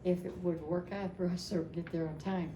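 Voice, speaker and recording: A woman's voice: on the low side, fairly even intonation. Older woman storytelling, echoey noisy room. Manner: halting, repeats phrases, chatty. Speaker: storyteller at a family memorial. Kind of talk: casual personal storytelling to a gathering. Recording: echoey room, clear background noise.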